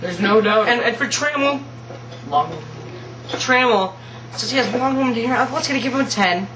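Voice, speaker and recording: A man's voice: extremely high pitch, moderate pace, bright, forceful tone, distant mic, slight background noise, rough recording. A man playing guest judge among friends, giving goofy, teasing scores in a casual home video. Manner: goofy, teasing, jokey scoring. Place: home basement.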